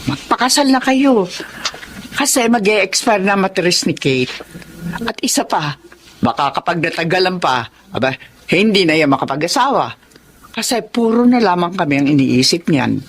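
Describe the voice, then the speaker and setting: A woman's voice: fairly low pitch, sing-song, moderate pace, slightly rough. An actress playing a frank but tender older mother in a Filipino radio drama. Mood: blunt, teasing.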